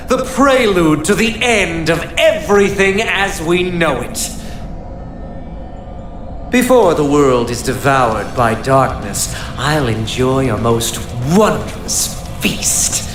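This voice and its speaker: A devious, sadistic game villain who swoops wildly in pitch and stretches words out slowly. He gloats in flowery, mock-polite phrases with gleeful menace. Dramatic music plays clearly behind him. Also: expressive. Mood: grandiose menace. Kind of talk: anime-style video game villain acting.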